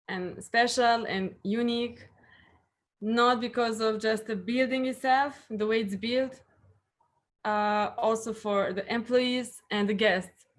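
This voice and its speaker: A young woman from Serbia with a Serbian accent, answering interview questions in English with frequent fillers and repeated words. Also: on the high side. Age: young adult. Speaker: guest.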